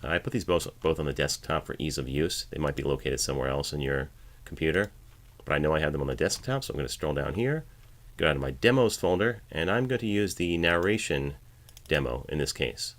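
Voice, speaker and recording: A man's voice: extremely low pitch, animated, a little fast, fairly bright tone. A man narrating an instructional screencast, explaining each step in a casual way as a voiceover artist giving a tutorial. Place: home voiceover studio, at the editing station.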